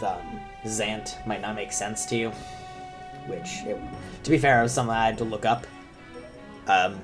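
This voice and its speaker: A young man who is sick, talking in a rambling, self-deprecating way with many fillers as he comments on a video game. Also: on the low side. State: sick, deep voice. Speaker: gaming commentator. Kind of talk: let's play commentary.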